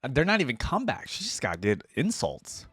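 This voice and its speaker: A man's voice: medium pitch, wildly swooping pitch, fairly quick, a bit bright, husky, no room sound, soft background music. A man giving casual, slangy reaction commentary as a video host, with appreciative interjections.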